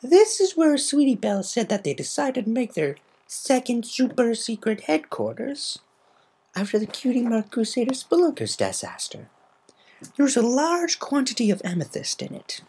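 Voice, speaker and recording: Refined female unicorn played by a woman, storybook character, high lifted pitch, polite, composed, caring, measured phrasing. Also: very animated, mellow, slightly rough, exaggerated voice. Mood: thoughtful.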